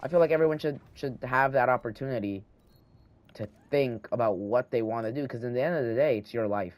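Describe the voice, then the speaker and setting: A teenage boy talks at a middle pitch and rambles as he thinks aloud over his gameplay. He restarts his sentences often, and a slight lisp shows through. He speaks into a handheld mic at home. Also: a little fast. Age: teens. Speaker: gaming channel host. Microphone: handheld microphone.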